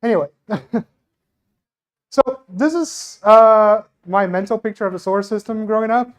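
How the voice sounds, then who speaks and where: A man's voice: high-pitched, moderate pace. A young man with a Brazilian accent and native Portuguese gives an informal, self-deprecating science talk into a microphone at a live event. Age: young adult. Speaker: astronomy researcher giving a talk. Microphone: handheld microphone.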